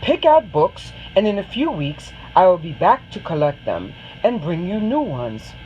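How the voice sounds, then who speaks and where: A kindly traveling librarian man from a storybook, played by a woman reading aloud. He speaks slowly and invitingly, with lively rises and falls. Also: fairly low pitch, muffled recording, a little hiss or hum. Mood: encouraging.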